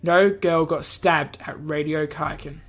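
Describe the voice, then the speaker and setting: A man's voice: fairly high pitch, fairly even intonation, measured pace, a bit bright, faint music behind. A stern, burly uniformed policeman in a visual novel, voiced by a man reading aloud. He speaks curtly and with command, as someone used to giving orders. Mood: stern, impatient. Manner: curt, commanding.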